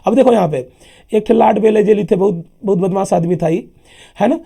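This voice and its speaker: A man with a sore throat teaching a lively history lesson for exams, emphatic and chatty, often calling his listeners sir. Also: sits high, brisk. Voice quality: husky, gravelly. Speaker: exam coaching teacher. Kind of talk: lively classroom history lecture.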